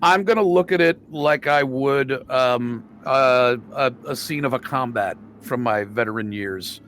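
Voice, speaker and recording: A man playing a tabletop roleplaying game, talking casually and haltingly as he thinks out loud. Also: mid-range voice, faint music behind.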